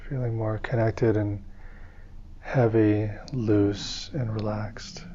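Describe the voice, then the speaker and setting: Guy doing guided meditation, calm n soothing, pretty flat lowish voice, bit muffled recording. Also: slight background noise. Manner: calm, soothing, measured instruction with pauses. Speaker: meditation teacher.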